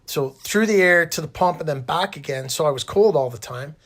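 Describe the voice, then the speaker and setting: A man's voice: fairly high pitch, expressive, brisk, fairly bright tone. A middle-aged man with a Canadian accent, talking casually and colloquially in a rambling storytelling way as he hosts. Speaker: interviewer and host recounting own hospital stay.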